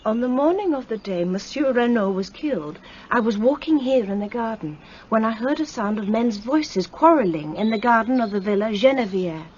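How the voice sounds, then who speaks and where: An actress playing an earnest young woman, urgent and hushed, in a radio play. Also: a little high, expressive, moderate pace, few pauses, natural voice. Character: earnest young woman anxious for her fiancé. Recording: dull sound with faint outdoor noise.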